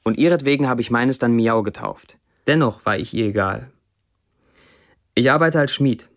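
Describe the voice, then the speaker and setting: An actor playing a sardonic Syrian blacksmith who writes Facebook posts, delivered as a literary monologue in a radio play. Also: male voice, mid-range voice, normal speed, lightly acted. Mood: wry.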